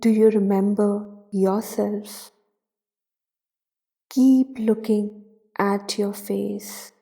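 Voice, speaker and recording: Very slow, mellow woman guiding meditation. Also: medium pitch, little pitch movement, gravelly. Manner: soothing, deliberate, with long pauses between short phrases. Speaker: meditation guide. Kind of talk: guided meditation narration.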